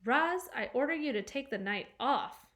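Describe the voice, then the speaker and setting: A woman voicing a warm, slightly gruff father in a children's book read-aloud. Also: sits high, animated, normal speed, voice pushed up. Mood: kindly.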